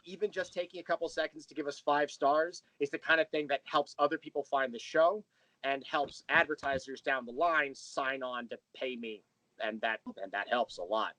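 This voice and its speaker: A man's voice: mid-range voice, normal speed, pushed and bright, no room sound, poor audio. A man talking casually and animatedly as a podcast guest and creator, telling about his work with enthusiasm. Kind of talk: casual interview chat.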